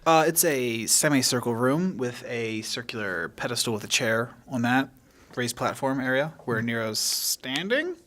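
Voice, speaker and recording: A man's voice: mid-range voice, lively intonation, moderate pace, a bit bright. A man narrating a tabletop game scene in a casual, hesitant and understated way.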